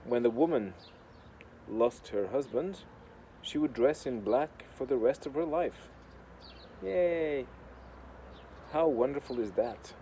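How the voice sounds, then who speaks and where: A man from Portugal with a Portuguese accent rambles casually as a video host, with a deadpan, sardonic, sweary manner. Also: mid-range voice, slow. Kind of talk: casual rambling talk to camera. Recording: clear outdoor urban construction noise. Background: noise of building works.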